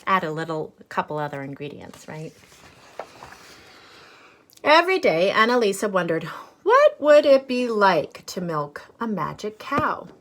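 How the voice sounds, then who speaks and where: Slow-paced woman reading to children. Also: medium pitch, sing-song, slight background noise. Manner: explains words and checks in with simple questions. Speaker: teacher reading a picture book aloud to children. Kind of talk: read-aloud storytelling with explanatory asides.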